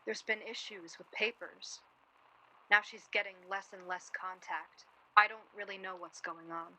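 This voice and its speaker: A woman's voice: mid-range voice, steady tone, unhurried, pushed and bright, rough recording. An actress playing a guarded, bitter and blunt young woman in a naturalistic radio play, arguing her points plainly. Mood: serious, pressing. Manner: blunt, argumentative. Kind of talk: radio play dialogue, naturalistic period drama.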